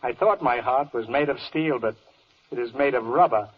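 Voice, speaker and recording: An actor playing a vain, bloodthirsty, fickle judge, blustering in theatrical rhyme in a 1940s radio verse comedy. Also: male voice, mid-range voice, moderate pace, slightly rough, close to an everyday voice. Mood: maudlin. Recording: muffled old radio broadcast.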